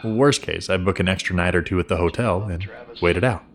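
An easygoing middle-aged professor father in an audio drama. His voice is deep and his talk is casual and understated, like everyday conversation. A faint ambience sits behind him. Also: fairly quick, a little hiss or hum. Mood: tired, easygoing.

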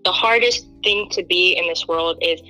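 A woman's voice: lowish voice, normal speed, faint music behind, poor audio. A young woman from Kenya as an interview guest, speaking in an earnest, emphatic way. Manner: earnest, emphatic, animated when quoting others. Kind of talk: candid interview talk.

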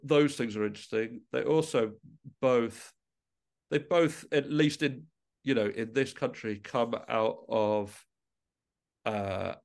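A man talks as a guest in a relaxed podcast conversation. His delivery is reflective and a little hesitant, circling back to restart phrases as he shapes each thought. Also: mid-range voice. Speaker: interview guest, musician and composer.

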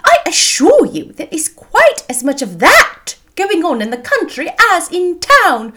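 A woman's voice: extremely high pitch, sing-song, takes it slowly, background noise, voice pushed up, full character voice. A woman voicing a fussy, self-important middle-aged mother in a period novel, effusive and exclamatory. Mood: indignant, triumphant.

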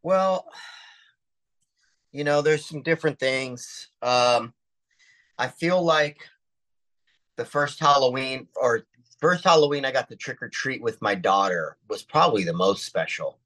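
A man's voice: medium pitch, a little slow, pauses often, sharp tone, no room sound. A man chatting casually in an interview as a touring musician, with slangy, informal phrasing. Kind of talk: casual chat.

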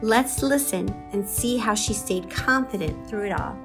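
A woman's voice: mid-range voice, unhurried, over music. A woman hosting an interview show in a conversational style, with an encouraging, affirming manner. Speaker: podcast host interviewer. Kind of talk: warm-up intro and conversational interviewing.

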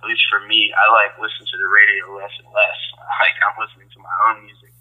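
A man's voice: lowish voice, flat delivery, fast. A man talking casually and thoughtfully in an informal interview over a phone line, with some slang, as a music producer. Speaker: recording engineer and music producer being interviewed. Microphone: phone call. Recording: tinny telephone line.

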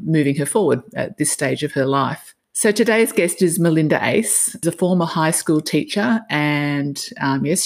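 A podcast host with a mid-range voice guides an interview. The host strings together long, loose sentences padded with fillers. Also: mid-range voice. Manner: warm, rambling introductions with fillers. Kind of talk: hosted interview.

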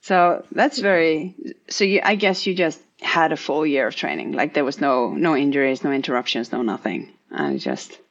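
Woman host w a lowish voice, chatty rambly questions, kinda muffled lo-fi audio. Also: expressive, normal speed. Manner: enthusiastic, rambling questions with frequent laughs. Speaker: interviewer, podcast host. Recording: dull lo-fi audio.

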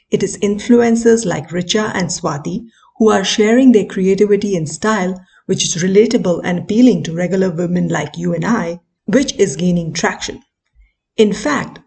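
Woman, host, scripted narration, measured, mid pitch. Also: gravelly, a little mellow. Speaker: podcast host.

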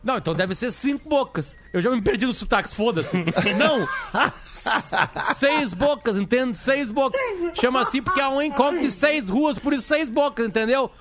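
A high-pitched man tells a joke on a live stream. He plays both parties in a back-and-forth with a light, playful delivery. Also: animated, moderate pace, noisy background. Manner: playful, acts out both sides of a joke dialogue. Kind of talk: casual joke telling.

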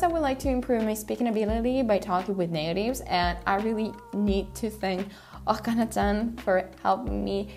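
A young woman talking casually about her language study goals, relaxed and chatty with frequent laughter and fillers. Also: medium pitch. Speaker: language learning podcaster.

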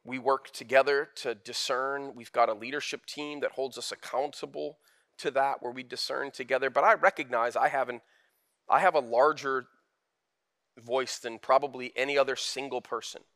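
Bright-voiced man preaching candidly. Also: mid-range voice, steady tone, normal speed. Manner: candid, conversational preaching with emphatic rises. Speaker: pastor. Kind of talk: sermon.

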